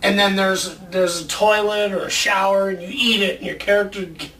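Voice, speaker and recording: A man's voice: sits high, fairly even intonation, moderate pace, pushed and bright. A man co-hosting a casual video chat, rambling informally and joking along the way. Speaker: co-host of a video show about movie ideas. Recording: roomy, distant mic with background noise.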